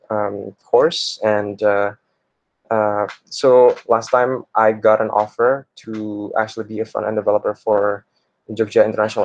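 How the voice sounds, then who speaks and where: A young man, a student introducing himself informally and pausing often mid-phrase. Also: lowish voice, no echo, lo-fi sound. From Indonesia. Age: young adult. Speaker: computer science student presenting. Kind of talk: informal self-introduction in a class presentation.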